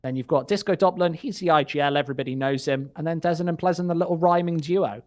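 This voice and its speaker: A man's voice: fairly high pitch, quick pace, darkish tone. A man doing live play-by-play esports commentary, joking and wry in his banter. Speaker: esports caster.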